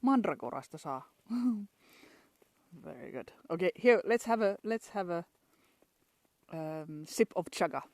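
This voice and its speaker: A woman's voice: fairly low pitch, lively intonation, slow, long pauses, darkish tone, dry recording. A woman from Finland with a Finnish accent, chatting casually outdoors in a pine forest for a travel vlog and laughing often between restarts. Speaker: travel vlogger.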